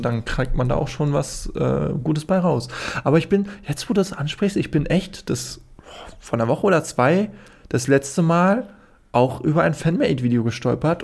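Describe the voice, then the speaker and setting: A man's voice: mid-range voice, gravelly, a little mellow. A man from Hesse with a light Hessian accent, a podcast guest chatting casually with many fillers and frequent laughs. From Hesse, Germany. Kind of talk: casual chat.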